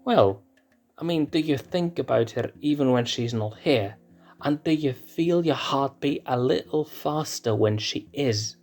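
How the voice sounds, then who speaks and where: A man's voice: mid-range voice, a little slow, darkish tone, faint music behind, voice pushed up, close to an everyday voice. A man voicing a sly, teasing young male lion cub.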